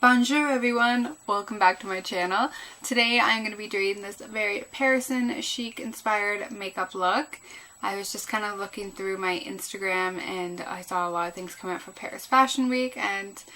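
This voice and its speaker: Woman, bright voice, chatty beauty tutorial, slightly tired and groggy, faint room noise. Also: medium pitch, moderate pace, rough recording. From Canada. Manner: chatty, rambling. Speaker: beauty vlogger. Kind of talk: casual chatty makeup tutorial.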